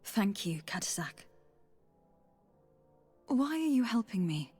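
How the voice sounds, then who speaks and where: A woman's voice: medium pitch, very animated, slow pace, darkish tone, breathy edge, faint music behind, heavily acted. An actress playing a young noblewoman envoy in a video game, composed, determined and commanding. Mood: concerned, resolute. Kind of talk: video game cutscene acting.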